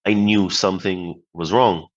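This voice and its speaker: Very deep voiced guy from barbados telling his story, lots of "you know", kinda muffled lo-fi sound. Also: fairly even intonation, measured pace. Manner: speaks in short phrases, repeats "you know". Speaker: podcast guest. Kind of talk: reflective storytelling.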